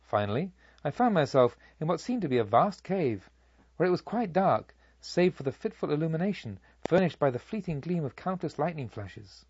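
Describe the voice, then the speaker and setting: A male narrator reads a literary tale with deliberate, dramatic phrasing. His voice is slightly husky and moves widely in pitch. The recording is a little dull. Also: very animated, darkish tone, no room sound. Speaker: audiobook narrator. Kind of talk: expressive scripted literary narration.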